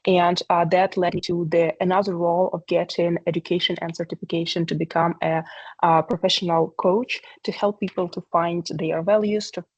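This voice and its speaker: A woman's voice: fairly low pitch, flat delivery, normal speed, breathy edge, no echo, muffled recording. A woman, giving a talk on a video call, earnest and hesitant with many fillers. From Ukraine. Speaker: presenter. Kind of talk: online meeting presentation.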